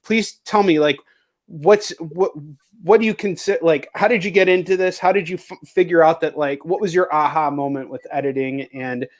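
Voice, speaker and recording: A man's voice: fairly high pitch, moderate pace. A man hosting a casual livestream interview, rambling and rephrasing his questions as he goes, with some swearing. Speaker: host interviewing a guest.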